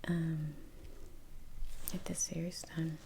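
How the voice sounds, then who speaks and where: A woman softly whispering in a breathy voice, chatting in an unhurried, murmuring ASMR style as she works. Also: sits low, flat delivery, background noise. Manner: unhurried, trailing off, murmured musing. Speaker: artist, ASMR video creator. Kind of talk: soft-spoken ASMR painting chat. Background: soft brush and painting sounds.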